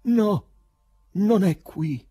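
A man voicing a frantic, angry male poet in an audiobook story, shouting in agitation and desperation. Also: fairly low pitch, animated, normal speed, husky, no room sound, voice pushed up, full character voice. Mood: loud, distressed.

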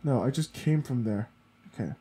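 A man's voice: medium pitch, expressive, normal speed, mellow, slight background noise. A sniffly, congested man giving casual gameplay commentary, muttering to himself as he plays. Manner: muttering to himself while playing. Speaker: let's play gamer.

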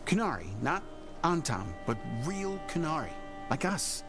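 An actor playing a gentle, thoughtful young horned man in a video game, speaking in a measured, poetic way. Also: on the high side, sing-song, music in the background. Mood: earnest.

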